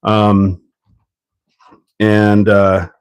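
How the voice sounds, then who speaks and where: A man from Canada hosting a hobby livestream, talking in a rambling, relaxed way full of ums while stuffed up with a cold. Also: on the low side, extremely slow, slight background noise. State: congested from a cold. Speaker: livestream host. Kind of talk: casual livestream chat while building.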